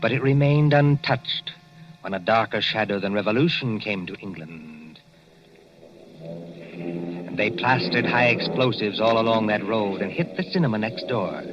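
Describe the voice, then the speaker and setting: A man narrating a 1940s radio suspense drama in a measured, dramatic way. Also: fairly low pitch, background noise. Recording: dull old 1940s radio broadcast with music.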